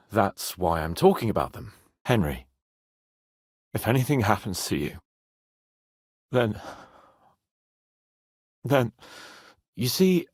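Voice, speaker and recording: Casting: a young nobleman in a medieval game, lordly and loyal, lively intonation, rough-edged voice. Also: fairly low pitch, no echo, studio quality, full character voice. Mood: earnest, wistful. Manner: expressive, lordly, exclamatory. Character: spirited young nobleman in medieval Bohemia, haughty yet loyal. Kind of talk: dramatic video game voice acting.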